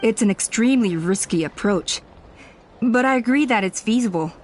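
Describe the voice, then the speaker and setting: A woman's voice: fairly high pitch, lively intonation, moderate pace, a little hiss or hum, lightly acted. A woman playing a cautious, level-headed tribe member in a fantasy video game, serious and careful in delivery. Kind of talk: video game character dialogue.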